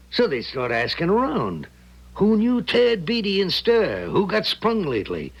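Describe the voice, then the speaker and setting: Animated, gravelly small-time crook. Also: male voice, on the high side, exaggerated voice. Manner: brusque, slangy, ordering his partner around. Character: bossy, hard-boiled small-time crook, impatient and greedy. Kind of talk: 1970s radio drama acting. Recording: noisy 1970s radio broadcast recording.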